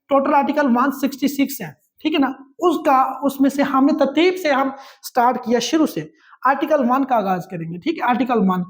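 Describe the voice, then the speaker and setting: High-pitched Pakistani man teaching. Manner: repetitive, emphatic, drilling key points. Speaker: law teacher. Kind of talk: exam-prep lecture.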